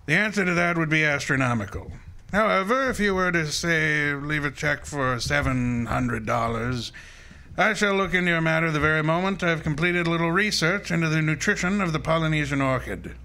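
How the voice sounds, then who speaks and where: An actor playing an imperious, pompous private detective in a radio drama, speaking formally and dryly. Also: male voice, on the high side, a little fast, sharp tone. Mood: haughty. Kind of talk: old-time radio detective drama acting.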